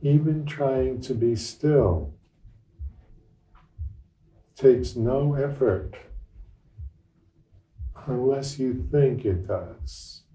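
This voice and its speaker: A man leading a guided meditation as a teacher, speaking gently and without hurry in short phrases with long pauses. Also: medium pitch, lively intonation. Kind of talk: guided meditation, unscripted and instructional. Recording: roomy echo, distant microphone.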